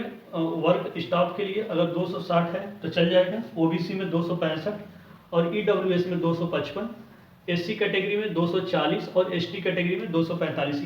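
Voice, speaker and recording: A man giving an informal explanatory lesson as an exam coaching teacher, often checking in with short tag words. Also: sits high. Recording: roomy, distant mic, dull lo-fi sound.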